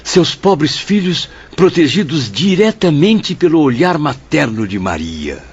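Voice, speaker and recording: An actor playing a warm-hearted, courteous spirit companion in a Brazilian radio drama, speaking with eager sympathy. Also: male voice, on the high side, a little slow, husky, exaggerated voice. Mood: warm, sympathetic. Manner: courteous, expressive. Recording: dull, faintly noisy track.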